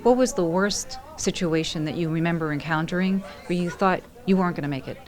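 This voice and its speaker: A woman interviewer asking direct, brisk questions. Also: on the low side.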